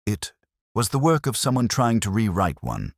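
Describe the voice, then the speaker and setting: A man narrating a first-person detective story in a measured, melancholy, literary manner. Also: lowish voice, huge pitch swings, dry recording, clean studio sound. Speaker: audiobook narrator.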